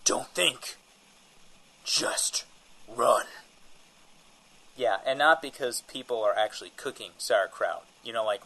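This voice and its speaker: A man's voice: fairly low pitch, a bit bright, slightly rough, heavily acted, poor audio. A man playing an earnest small-town man given to paranoid warnings in a comedic audio drama. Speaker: voice actor.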